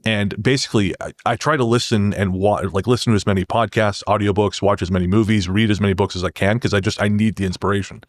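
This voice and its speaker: A man with a fairly low voice talks casually as a podcast guest and writer. He is earnest and halting, often rephrasing as he goes. The sound is very dry and close, with no room echo. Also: fairly even intonation. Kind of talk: casual podcast conversation, storytelling.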